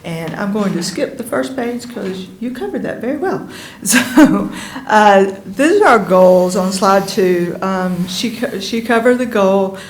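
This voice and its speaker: A woman's voice: mid-range voice, expressive, a little slow, echoey room. A woman on the utility staff presenting slides to the board, speaking casually with many fillers. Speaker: utility staff filling in for a manager.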